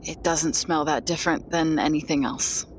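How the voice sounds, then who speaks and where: A woman with a slightly low voice plays a tabletop game. She talks casually and haltingly, circling back over phrases, with noise in the background. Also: fairly even intonation. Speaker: player in a tabletop horror game. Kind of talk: casual improvised in-character table talk.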